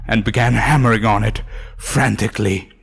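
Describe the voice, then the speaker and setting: A man's voice: lowish voice, fairly even intonation, moderate pace, vocal fry, noisy background. A man giving a dramatic first-person narration of a scripted horror story. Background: faint music.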